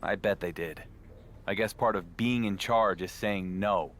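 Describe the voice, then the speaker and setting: A fairly low male voice for a sensible champion wrestler in a game. He is the dry straight man, doubtful and unimpressed by nonsense, over faint background noise. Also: unhurried, a bit bright. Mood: incredulous, exasperated. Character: level-headed, skeptical male champion wrestler. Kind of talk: video game cutscene acting.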